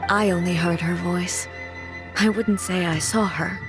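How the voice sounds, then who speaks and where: A woman's voice: fairly low pitch, fairly even intonation, unhurried, music in the background. A woman playing a reserved, earnest immortal ruler in a video game, speaking formally and with restraint. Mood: reflective. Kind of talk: English video game voice acting.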